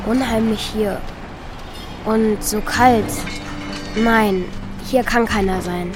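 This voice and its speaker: A woman's voice: mid-range voice, slow, over music, close to an everyday voice. A woman playing Mika, an earnest, lonely, kind-hearted young child in a German children's radio play. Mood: worried.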